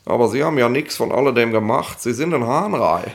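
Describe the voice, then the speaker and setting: Creaky, smug police inspector. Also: male voice, mid-range voice, lively intonation, fairly quick. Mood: smug, blunt. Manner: rambling officialese with colloquial 'ne?' and 'nicht?'. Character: smug, petty, nosy police inspector, bureaucratic. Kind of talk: 1960s German radio play acting.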